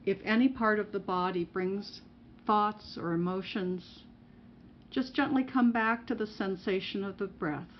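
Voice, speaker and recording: Woman leading a guided meditation, really slow and measured, bit muffled w faint noise behind. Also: mid-range voice. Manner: measured, instructional, pausing between short phrases. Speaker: mindfulness meditation teacher.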